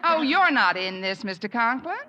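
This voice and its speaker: Dry witty teacher, lively pitch, hissy old radio recording. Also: female voice, lo-fi sound. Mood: wry. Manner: dry, wry, quick with a quip. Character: wisecracking middle-aged schoolteacher. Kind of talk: 1950s radio sitcom acting. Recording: old radio broadcast with audible hiss.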